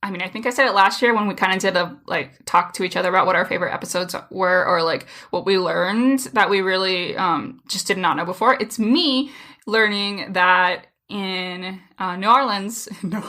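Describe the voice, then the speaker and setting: A woman's voice: mid-range voice, sharp tone. A woman from the US Southwest, co-hosting a podcast and laughing often. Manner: giggly, quick to laugh. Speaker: podcast co-host. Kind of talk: casual chat.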